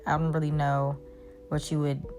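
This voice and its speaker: A woman's voice: sits low, little pitch movement, normal speed, music in the background. A young woman, a mobile pet groomer, chatting casually to her viewers as she works in her grooming van. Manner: chatty, talks to dogs in baby talk. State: congested. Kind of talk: casual vlog narration.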